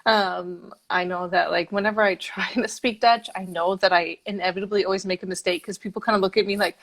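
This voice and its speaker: A woman's voice: medium pitch, brisk. A woman in her thirties from Los Angeles, hosting a casual interview over a video call and laughing easily. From Los Angeles, USA. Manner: friendly, laughs easily, relaxed fillers. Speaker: interview host.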